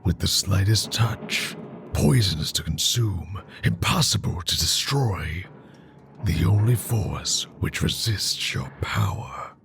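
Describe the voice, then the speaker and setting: A man voicing a grave, menacing robot schemer in an animated series, with a husky, gravelly voice and a formal, ominous manner. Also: low voice, slow pace, faint music behind, full character voice. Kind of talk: dramatic animated-series villain acting.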